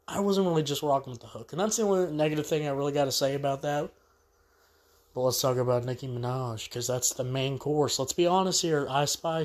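A man with a stuffed-up voice from allergies, casually reviewing a song as host, rambling and repeating himself in easy slang. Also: fairly high pitch.

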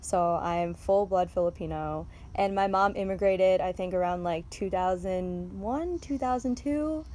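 A teenage girl co-hosting a casual podcast, giggling often and filling her sentences with "like". Her voice sits at an ordinary middle pitch, and she moves easily between chatty excitement and quick laughter. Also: a little hiss or hum. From Chicago, USA. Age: teens. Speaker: podcast co-host. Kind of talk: casual chat.

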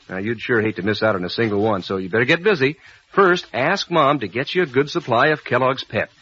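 Male American 1940s announcer: lively, slightly raspy, folksy sales delivery on lo-fi vintage recording. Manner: folksy, enthusiastic sales pitch. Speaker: radio announcer. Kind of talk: 1940s radio cereal advertising. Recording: muffled old radio recording.

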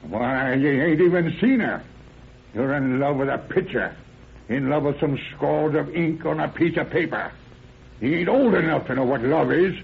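An actor playing a stern old rural American father, gruff, folksy and scolding, in old-time radio drama style. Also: fairly high pitch, normal speed, full character voice. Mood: scornful, stern. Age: older. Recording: tinny, noisy old radio recording.

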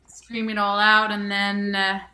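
A woman's voice: medium pitch, monotone, a little slow, bright, forceful tone, low-quality recording. A woman from Denmark, an actress and musician, answering interview questions candidly with many restarts and fillers.

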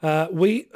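Man ranting, a little hoarse, dry sound. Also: sits high, a little mellow, no echo. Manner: frustrated ranting with sighs. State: slightly hoarse. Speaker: podcast co-host. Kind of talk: casual chat.

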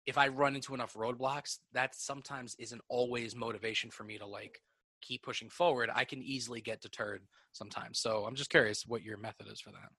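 A man's voice: mid-range voice, fairly even intonation, a little fast, sharp tone, slightly rough, no room sound. A man chatting casually and swearing freely, with lots of filler "like.". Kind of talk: casual conversation.

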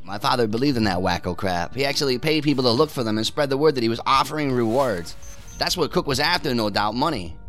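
Cynical, scornful man with lively pitch, acted. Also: quick pace, faint music behind, lightly acted. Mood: dismissive. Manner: scornful, dismissive, chuckling sarcasm. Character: cynical, irritated son of a wealthy club owner. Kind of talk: naturalistic audio drama acting.

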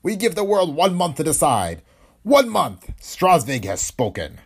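A man's voice: on the high side, huge pitch swings, unhurried, breathy edge, pitched above the performer's own voice, exaggerated voice. A man voicing a menacing dictator in an audiobook, declamatory and imperious. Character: menacing dictator issuing a proclamation.

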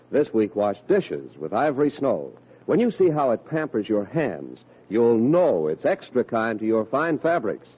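A man reading a scripted 1940s radio advertisement as an emphatic sales pitch. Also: breathy edge. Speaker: commercial announcer. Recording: muffled old radio recording.

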